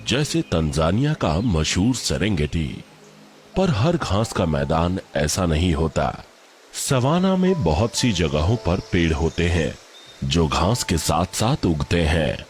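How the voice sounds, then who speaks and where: A man delivering scripted commercial and narration voice-over in a polished, deliberate, dramatic way. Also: sits low, sing-song, slight background noise. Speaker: voice-over artist. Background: music bed.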